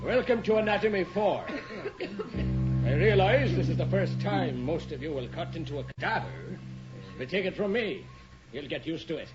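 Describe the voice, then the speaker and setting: A man's voice: fairly high pitch, lively intonation, normal speed, lightly acted. An actor playing a male anatomy professor who lectures theatrically and relishes grim jokes. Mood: gleefully morbid. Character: macabre male anatomy professor, gleefully morbid. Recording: dull old radio play with music.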